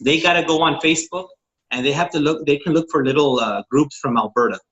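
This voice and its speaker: A man's voice: a little high, quick pace, a bit bright, muffled recording. A man, a network marketing consultant from Texas, casually teaching on a group call, eager and informal, with many fillers and restarts.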